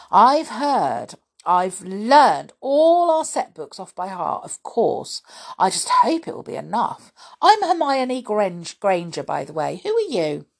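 A woman voicing a bossy, eager young witch girl who talks very fast. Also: fairly high pitch, breathy edge, pitched above the performer's own voice, full character voice. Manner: bossy, rapid, know-it-all.

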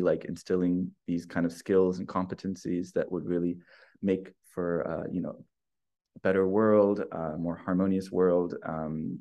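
A man with a very low voice hosts a podcast in a relaxed, chatty interview style. He rambles a little, leaning on fillers and restarts as he builds up his questions. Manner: friendly, rambling with many fillers. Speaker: podcast host, researcher and educator.

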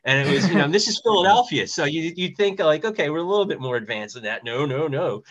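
A man's voice: a little high, lively intonation, fast talker, a bit bright. A man who is a guest on a panel, chatting casually in a rambling way peppered with "you know". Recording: dull, lo-fi sound.

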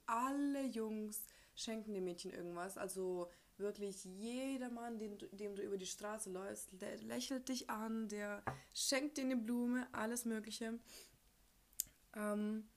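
A woman's voice: mid-range voice, darkish tone. A young woman from Ukraine hosting a casual personal podcast, speaking informally with youth slang and bits of English mixed in. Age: young adult. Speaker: podcast host.

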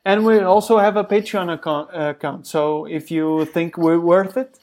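A man co-hosting a casual tech podcast, animated and quick to laugh, with excited outbursts. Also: a little high. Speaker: podcast co-host.

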